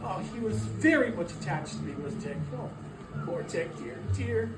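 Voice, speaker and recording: A woman's voice: mid-range voice, sing-song, moderate pace, loud music behind the voice, lightly acted. A woman playing an elderly, emotional Scrooge in a dramatic stage reading, wistful and exclamatory. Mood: mournful. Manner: exclamatory, wistful, repeating words. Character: elderly Scrooge, emotional man revisiting his past. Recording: roomy, distant mic, noisy hall recording.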